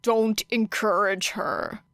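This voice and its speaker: A woman voicing a stubborn, exasperated teenage boy who growls his words out slowly, in a storybook audio reading. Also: mid-range voice, gravelly, a bit bright, no echo. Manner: slow, dry and exasperated.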